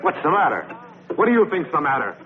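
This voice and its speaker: Earnest, higher-voiced young-spirited warrior. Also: male voice, sing-song, quick pace, background noise. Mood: restless, indignant. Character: loyal, earnest Greek warrior, impatient for battle. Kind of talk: dubbed historical epic film acting. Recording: tinny old film soundtrack, crowd behind.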